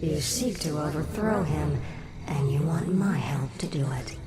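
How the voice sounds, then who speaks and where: A woman's voice: deep voice, a little slow. An actress playing a proud, sardonic undead female commander in a video game cutscene, cool and pointed in delivery. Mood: cool, wary. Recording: loud music behind the voice.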